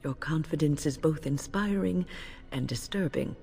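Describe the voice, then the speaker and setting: A woman playing an older mage in a fantasy video game, grave, weary and composed, telling things in a measured way. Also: sits low, creaky, dark tone, breathy edge, big-room sound, soft background music, exaggerated voice. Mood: grave, resolute.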